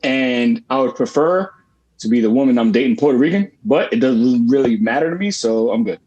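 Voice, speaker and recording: A man's voice: mid-range voice, animated, a little fast, darkish tone, husky, muffled recording. A man in his late twenties, a guest in a casual group chat, easygoing. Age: 20s.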